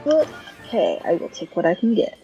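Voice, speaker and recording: A woman's voice: on the low side, huge pitch swings, moderate pace, mellow, highs cut off. A woman giving casual, playful gameplay commentary, exclaiming and talking to herself as she goes. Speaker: gaming streamer. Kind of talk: casual game playthrough commentary. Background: video game music.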